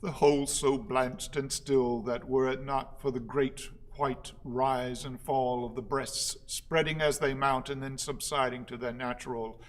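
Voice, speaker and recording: A man acting a solemn, pedantic orator in a radio play, declaiming ornate lines in a measured, halting, rhetorical way. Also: mid-range voice, steady tone, lightly acted. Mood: intense, musing. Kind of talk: theatrical radio play monologue.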